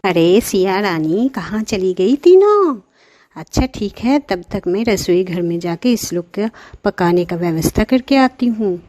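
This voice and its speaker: A woman's voice: lively intonation, a little slow, warm tone, dry recording, natural voice. A woman voicing a gleeful, greedy witch in a children's cartoon, gloating in a villainous manner. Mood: scheming. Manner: gloating, with high-pitched cackles. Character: gleeful greedy witch eager to cook her captives.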